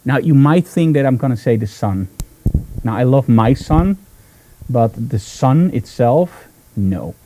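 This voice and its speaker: A man's voice: mid-range voice, animated, unhurried, mellow. A man with a Dutch accent hosting an informal live broadcast, explaining gear in an enthusiastic, emphatic, chatty way. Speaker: host, photographer.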